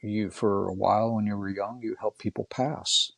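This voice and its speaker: An older man with a gravelly, husky voice, telling personal stories casually as a guest, in a reflective way with pauses between short phrases. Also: on the low side, fairly even intonation, fairly bright tone, no room sound. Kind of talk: casual storytelling in conversation.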